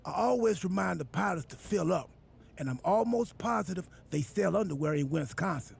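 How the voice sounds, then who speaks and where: An actor playing a goofy, self-important wrestler turned boss in a video game cutscene, with a gravelly, husky voice and an earnest, absurdly literal, rambling manner. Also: male voice, high-pitched, lively intonation, normal speed, heavily acted. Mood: breezy, rambling. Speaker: wrestler acting as general manager.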